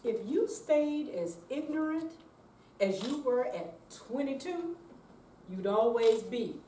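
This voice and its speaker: A woman's voice: sits high, animated, takes it slowly, warm tone. An older woman giving a dramatic reading as an amateur performer in a senior center room. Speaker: amateur readers theater performer. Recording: distant, echoey room with faint noise.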